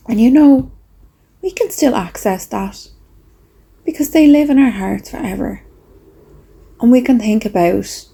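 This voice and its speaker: A woman speaking softly and reflectively with pauses, in a breathy, husky voice, as she leads a gentle guided meditation. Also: mid-range voice. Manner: slow, soft, reflective, with pauses.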